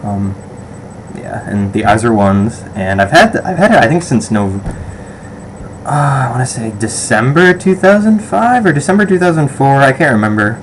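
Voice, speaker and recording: A man's voice: medium pitch, very animated, normal speed, slight background noise, low-quality recording. A young man with a western New York American accent rambles casually through a survey vlog, full of ums and restarts. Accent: western/upstate New York American. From western New York, USA. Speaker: vlogger.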